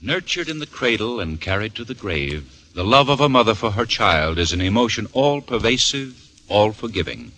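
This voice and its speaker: A man giving grave, measured narration that opens a 1940s radio drama. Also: lowish voice, animated. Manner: grave, measured, sinking to a hush. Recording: old radio broadcast with faint hiss.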